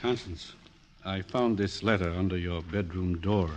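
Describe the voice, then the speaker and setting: An actor playing a discreet, formal doctor with a measured manner, in 1940s radio drama style. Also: male voice, low-pitched, very noisy. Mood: discreet, quiet.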